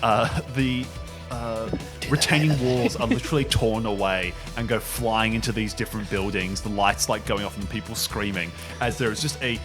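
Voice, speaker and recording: A man running a tabletop game as game master, improvising descriptive narration in a halting way. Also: fairly low pitch, music in the background.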